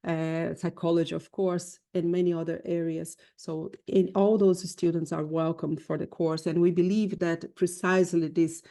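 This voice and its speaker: A woman's voice: fairly low pitch, little pitch movement, normal speed, hardly any pauses, warm tone. A woman lecturer explaining course admissions in a webinar, with frequent fillers. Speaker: university lecturer. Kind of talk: webinar presentation.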